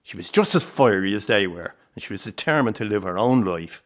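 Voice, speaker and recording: A man acts an elderly Irish circus grandfather in a radio play. His voice sits on the low side, and he speaks as a fond, nostalgic family man who still carries grief. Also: expressive, brisk, close to an everyday voice. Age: older. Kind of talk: radio drama acting.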